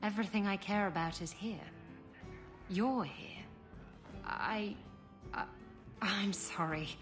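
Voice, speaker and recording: A woman's voice: medium pitch, slow pace, fairly bright tone, soft background music. An actress playing a flirtatious, sardonic pirate woman in a video game, sultry and teasing. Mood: tender, hesitant.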